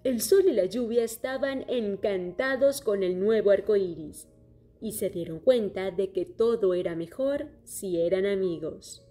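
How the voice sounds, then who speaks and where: A woman reading a children's story aloud in a clear, steady storytelling manner. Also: mid-range voice, measured pace. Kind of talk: scripted children's story narration. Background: soft music.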